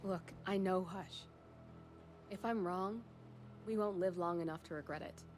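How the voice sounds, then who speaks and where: Brief: actress as a dry, level handler, lowish voice, music bed under her. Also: close to an everyday voice. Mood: cool. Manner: measured, dry, businesslike. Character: composed female handler giving a mission briefing. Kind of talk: game cutscene briefing. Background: cutscene music.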